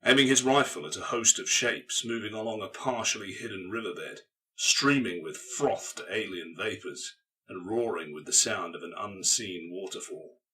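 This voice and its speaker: Deep, forceful male audiobook narrator. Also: normal speed, distant mic, poor audio. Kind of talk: scripted dramatic narration.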